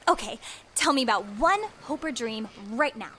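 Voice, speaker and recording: A woman's voice: on the high side, animated, normal speed, bright, forceful tone, slight background noise. A woman voicing a bubbly, cheerful young woman in an audio drama, gushing and upbeat.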